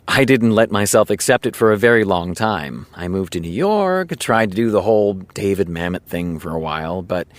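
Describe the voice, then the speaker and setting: A man playing an easygoing, earnest TV showrunner in an audio drama, confiding in casual storytelling with dramatic pauses. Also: fairly low pitch, no room sound, natural voice. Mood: earnest, nostalgic. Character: easygoing male TV showrunner, chatty earnest raconteur. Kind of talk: audio drama acting, naturalistic dialogue.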